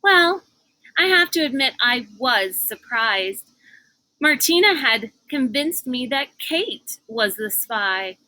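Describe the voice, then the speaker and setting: A smug older girl bully from a storybook, acted out by a woman reading aloud. She is brash and gossipy, gloating in a bright, forceful voice with slow, savoring delivery. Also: high voice, sing-song, no echo, pitched above the performer's own voice, full character voice, low-quality recording. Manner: brash, chatty, self-satisfied. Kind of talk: storybook character voice.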